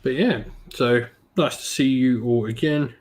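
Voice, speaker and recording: A man hosting a casual hobby stream, a little croaky from being sick, laid-back with lots of ums. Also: medium pitch, measured pace. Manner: laid-back, many ums, greets chat viewers by name. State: slightly croaky from illness. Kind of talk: casual live-stream chat while painting miniatures.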